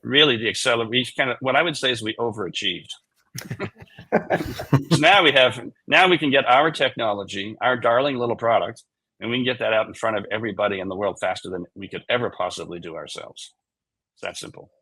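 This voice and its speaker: A man's voice: medium pitch, brisk, bright, forceful tone, husky, no room sound, low-quality recording. A man speaking as a chief executive in a business interview, candid and informal with a wry touch.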